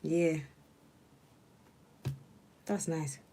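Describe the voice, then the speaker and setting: A woman's voice: sits low, very slow, dark tone, a little hiss or hum. A young woman from Nigeria with a Nigerian accent, a law student murmuring her notes aloud and chatting with viewers on a casual study livestream. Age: young adult.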